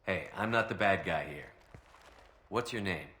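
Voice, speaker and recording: A confident heroic commander from a video game, with a deep, forceful voice. He talks briskly and directly, calm and dryly witty, with a roomy echo around him. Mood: reassuring, firm. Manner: direct, calm, dry wit. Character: steady, confident heroic military commander, adult man.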